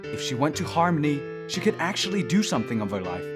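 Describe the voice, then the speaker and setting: A man's voice: fairly high pitch, very animated, fast. A man playing a smug, teasing immortal thousands of years old in an audio drama, with a sardonic, needling manner. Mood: smug, earnest. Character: teasing three-thousand-year-old dream maker, smug male immortal. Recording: voice under plucked guitar music.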